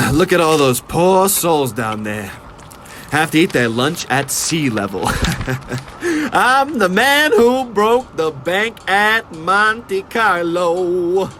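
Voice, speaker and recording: A man's voice: high voice, very animated, slow pace, pushed and bright, heavily acted. A man acting a cocky, jovial, bossy construction worker on stage, boastful and needling. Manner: boastful, needling, blue-collar banter. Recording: outdoor recording with background noise, sirens.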